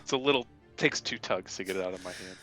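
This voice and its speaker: A man describes his moves in a tabletop game in a casual way. His voice is low with a crisp, bright edge, over faint background music. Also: fast talker.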